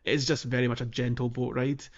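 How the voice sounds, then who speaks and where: A man's voice: mid-range voice, flat delivery, a little fast, dull audio. A man co-hosting a podcast, talking casually about a video game playthrough in a chatty, hesitant way. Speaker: podcast co-host.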